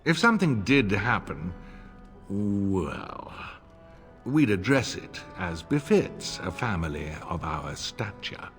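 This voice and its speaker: An actor playing a smug, middle-aged nobleman and war veteran in a video game, formal and sardonic, with a husky voice. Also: lowish voice, sing-song, slow pace, a bit bright, exaggerated voice. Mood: smooth, condescending. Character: older nobleman, old war comrade, smug, wry, insincerely courteous. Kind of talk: video game cutscene acting. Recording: long reverb, music underneath.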